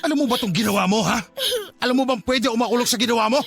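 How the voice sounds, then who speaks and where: Agitated, fast-talking betrayed boyfriend. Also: male voice, very high voice, vocal fry, fairly bright tone, soft background music, full character voice. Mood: angry, hurt. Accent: Filipino. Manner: accusing, agitated. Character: angry, frightened adult man betrayed by underage girlfriend. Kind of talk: Filipino radio drama acting.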